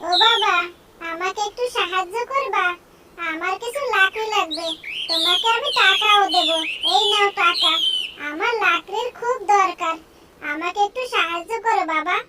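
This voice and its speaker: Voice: very high-pitched, moderate pace, crowd noise. A performer voicing a kindly, plaintive old village woman in a children's cartoon story. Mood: pleading. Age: older.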